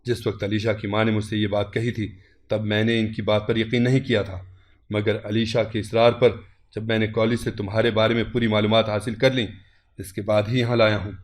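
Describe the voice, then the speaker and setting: A man voicing a stern, disappointed older uncle in an audio story, grave, reproachful and measured. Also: fairly low pitch, flat delivery, pitched below the performer's own voice, lightly acted. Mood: accusing.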